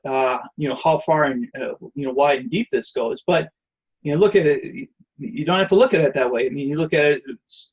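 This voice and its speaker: A man's voice: on the high side, a little mellow, breathy edge, highs cut off. A man giving an online presentation and answering questions, rambling with many fillers and restarts. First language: not Hebrew.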